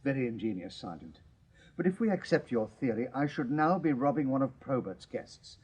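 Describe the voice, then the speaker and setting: An actor playing a snobbish Victorian professor and stage medium in a radio drama, English, pompous, precise and evasive. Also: male voice, mid-range voice, animated, normal speed, a little mellow, breathy edge. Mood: disdainful, evasive.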